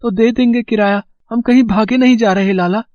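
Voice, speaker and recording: A man's voice: extremely high pitch, steady tone, moderate pace, darkish tone, dry recording. A man playing an earnest, defiant, devoted village son in a moral story. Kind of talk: dramatised Hindi moral story. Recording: dull lo-fi, very dry.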